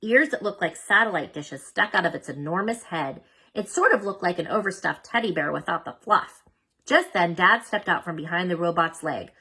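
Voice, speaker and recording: A woman reading a children's storybook aloud as an expressive narrator. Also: on the low side, moderate pace, husky. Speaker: storybook reader.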